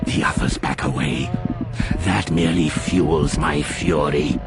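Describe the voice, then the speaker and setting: An actor playing a savage, rage-driven warrior champion in an audio drama, with gravelly, menacing, intense delivery. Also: male voice, measured pace. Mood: furious, bloodthirsty. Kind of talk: audio drama first-person narration. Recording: loud rumbling battle noise behind the voice.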